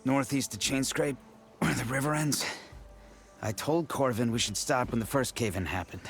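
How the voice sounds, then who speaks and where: A man acts out a weary, injured miner from a video game. He grumbles bluntly in a mid-pitched voice with a rough-and-ready colloquial manner. Light music sits underneath. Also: normal speed, natural voice. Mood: bitter, worried. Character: injured, weary, plain-spoken male mine worker. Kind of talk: scripted video game dialogue.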